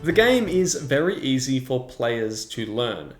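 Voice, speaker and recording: A man's voice: mid-range voice, very animated, a little slow, music in the background. A man presenting a scripted review as the host of a video. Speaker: tabletop game reviewer and host. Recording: roomy, echoing sound.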